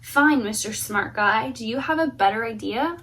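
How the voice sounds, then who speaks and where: A woman voicing a pushy, sarcastic male monster storyteller, excitable and exasperated, in a children's picture book. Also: on the high side, moderate pace, noisy background. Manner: exasperated, blunt.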